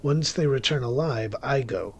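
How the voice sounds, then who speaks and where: An actor playing a wry, secretive male witch who narrates a horror-thriller audio drama in a theatrical, deliberate manner. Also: medium pitch, a little hiss or hum, lightly acted. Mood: sly, careful. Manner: wry, theatrical, deliberate, with sudden swells and drops. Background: music under the voice.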